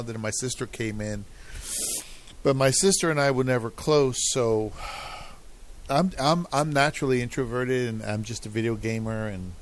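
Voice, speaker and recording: A man streaming a video game, rambling casually and musing aloud as he plays. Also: medium pitch. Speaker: gaming streamer. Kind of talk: casual gameplay commentary.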